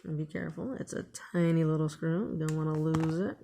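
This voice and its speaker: A woman's voice: low voice, fairly even intonation, a little fast, gravelly, dark tone, slight background noise. A woman hosting a casual hands-on DIY tutorial, thinking aloud in an unscripted way as she works. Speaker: DIY video host.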